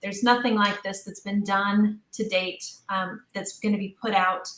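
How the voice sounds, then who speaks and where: A woman talking as an interview guest about her creative work, enthusiastic, animated and emphatic. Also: mid-range voice, unhurried, slight background noise. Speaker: guest, audio drama creator. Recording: roomy, echoing sound.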